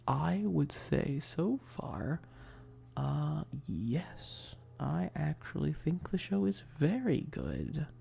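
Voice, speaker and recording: A soft-spoken ASMR livestream host who chats casually in a breathy voice that often drops to a whisper, rambling and hesitant in a self-deprecating way. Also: mid-range voice.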